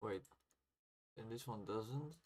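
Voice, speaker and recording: A man with a slightly low voice talks at a very unhurried pace. He sounds like an indie developer on a casual livestream, thinking out loud and half muttering to himself as he works. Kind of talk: casual livestream chat while working.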